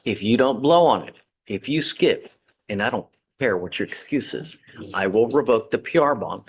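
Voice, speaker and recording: A man's voice: medium pitch, lively intonation, moderate pace, lo-fi sound, slight background noise. A man serving as judge in a courtroom, lecturing a defendant from the bench with blunt sarcasm and folksy sayings.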